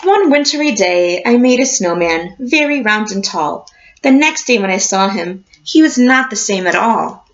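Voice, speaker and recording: A woman reading a picture book aloud like a teacher, expressive and encouraging, addressing young children. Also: medium pitch, measured pace, highs cut off. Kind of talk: storybook read-aloud with questions to a class.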